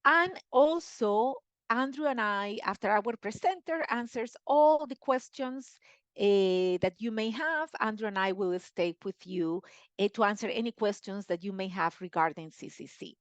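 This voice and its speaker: A woman's voice: medium pitch, unhurried, no echo, highs cut off. Effusive woman hosting a dry webinar. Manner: effusive, chatty, with many fillers. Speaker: webinar host. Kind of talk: live online webinar hosting.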